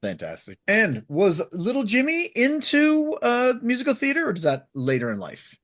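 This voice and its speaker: Male radio host, very lively pitch. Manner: brisk, upbeat prompts. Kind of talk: interview hosting.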